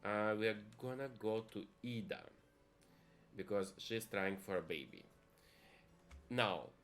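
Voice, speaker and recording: A man from Italy chatting casually and thinking aloud while streaming a life-sim game to viewers. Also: low-pitched. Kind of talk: casual live-stream gameplay commentary.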